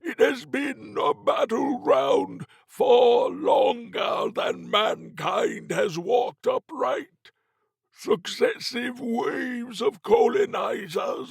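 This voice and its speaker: A man voicing an ominous sea-cult zealot in an audiobook, preaching in slow, strained pronouncements. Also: creaky, breathy edge, dry recording, full character voice. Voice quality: strained, high, loud delivery. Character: slow, ominous male sea-cultist zealot with orb-like eyes.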